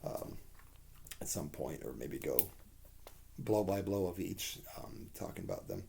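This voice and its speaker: A man with a hoarse voice giving a rambling, candid spoken TV review, with plenty of fillers and the odd curse. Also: deep voice, sing-song, unhurried, warm tone, slight background noise.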